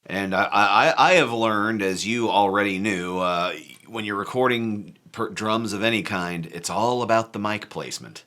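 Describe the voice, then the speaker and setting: A man from a band who jokes around and tells the story of arranging a song in an animated, playful way. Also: on the low side, moderate pace, few pauses. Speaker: band member discussing a song. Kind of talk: casual conversational chat.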